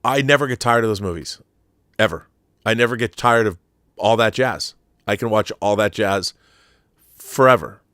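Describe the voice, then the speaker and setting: A man's voice: on the low side, moderate pace, long pauses, fairly bright tone, no echo, studio quality. A man hosting a live talk show, chatting casually about a viewer's question and repeating words for emphasis.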